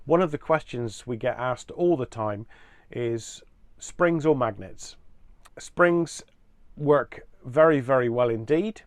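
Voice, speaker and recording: A man giving an explanatory product presentation in a measured, orderly way. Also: medium pitch, no echo.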